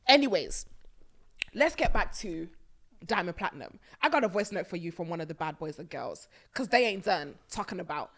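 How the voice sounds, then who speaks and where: Animated woman podcast host, bright voice. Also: fairly low pitch, normal speed. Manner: animated and emphatic, bursts of laughter. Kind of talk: casual chat reviewing a reality show. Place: small purple-lit studio set on a sofa. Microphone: studio mic on a boom arm.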